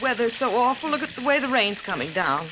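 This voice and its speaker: An actress playing an anxious middle-aged housewife in a 1940s radio drama, earnest, hesitant and pleading. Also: female voice, on the high side, sing-song, brisk. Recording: noisy old radio recording.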